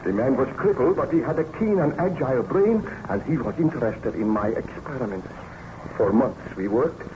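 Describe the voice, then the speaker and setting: A man's voice: medium pitch, animated, moderate pace, close to an everyday voice. An actor playing a tormented, exhausted baron obsessed with destroying his monster, in melodramatic, solemn radio drama style. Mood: grave confession. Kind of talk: old-time radio drama acting. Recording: muffled, noisy old radio recording.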